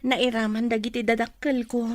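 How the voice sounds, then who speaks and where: A woman's voice: fairly high pitch, level tone, normal speed, lightly acted. A woman acting a humble young pregnant woman from a poor background in an Ilocano radio drama. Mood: sad, humble. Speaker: voice actor in an audio drama.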